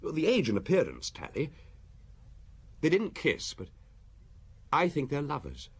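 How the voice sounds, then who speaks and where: A bashful, romantic young junior detective in a radio drama. His husky voice leaps around in pitch, eager and earnest yet hesitant. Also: huge pitch swings, measured pace, full character voice. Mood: eager report. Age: young adult.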